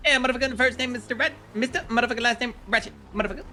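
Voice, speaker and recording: A man doing a high, fast-talking foul-mouthed ex-con. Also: sharp tone, a little hiss or hum. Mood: rambling. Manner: swears constantly, rambling and halting. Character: profane rambling streetwise ex-convict man. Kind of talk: online roleplay game character.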